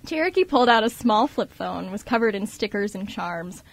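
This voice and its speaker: A woman's voice: mid-range voice, animated, normal speed. A woman reading scripted narration as the narrator of an amateur radio drama.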